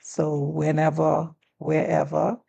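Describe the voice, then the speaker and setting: A woman's voice: sits low, takes it slowly, creaky, breathy edge, muffled recording. A woman in her sixties with a Turks and Caicos accent, a talk-show guest telling stories from her life and acting out the dialogue. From Turks and Caicos Islands. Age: 60s. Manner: animated storyteller who acts out quoted dialogue. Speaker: guest, former teacher and district commissioner. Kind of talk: relaxed storytelling in a talk-show conversation.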